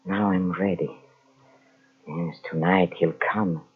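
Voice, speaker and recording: An actor playing a haunted Frenchman descending into madness in an old radio horror drama, halting and melodramatic, with repeated broken phrases. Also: sits low, fairly even intonation, breathy edge, exaggerated voice. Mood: hushed, scheming. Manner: halting, breathless repetitions, melodramatic. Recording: muffled old radio drama cassette transfer.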